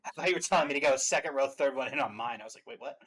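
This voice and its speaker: A man's voice: mid-range voice, very fast, a bit bright. A man hosting a casual reaction show, explaining game trailers to his audience.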